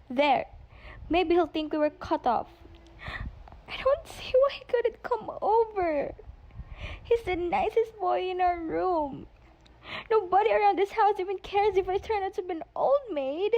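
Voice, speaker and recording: High-pitched, slow, melodramatic teenage girl acted by a woman. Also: animated, a little mellow, heavily acted, poor audio. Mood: distressed, sulky. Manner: pleading, melodramatic. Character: pleading, dramatic teenage girl, boy-crazy daughter. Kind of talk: amateur English radio play acting.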